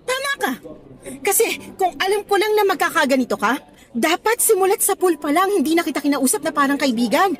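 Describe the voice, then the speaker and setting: A woman's voice: extremely high pitch, expressive, fairly quick, a bit bright. An actress playing a principled young woman teacher with a Filipino accent in a Tagalog radio drama, earnest and scolding, switching into English at times. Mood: indignant, firm.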